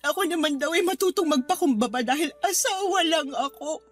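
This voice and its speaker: Betrayed young wife, very high voice, plaintive, Filipino accent, radio drama, faint music behind. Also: female voice, animated, a little fast. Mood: worried, wounded. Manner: tearful, plaintive. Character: hurt, anxious betrayed wife and young mother. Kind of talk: Tagalog radio drama acting.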